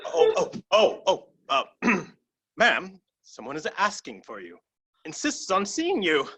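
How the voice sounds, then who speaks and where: A bright, swooping, mid-pitched voice of an actor playing an anxious servant. The delivery is fussy, deferential and flustered, full of stammered pleas for a stage comedy. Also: wildly swooping pitch, takes it slowly, breathy edge, exaggerated voice.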